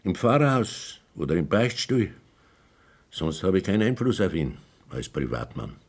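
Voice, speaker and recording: A cautious village priest. His voice is very deep, and he speaks in a measured, formal way while he evades every demand. It is a role in a radio play. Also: very animated, slightly rough, full character voice. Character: cautious middle-aged male village priest, measured and evasive.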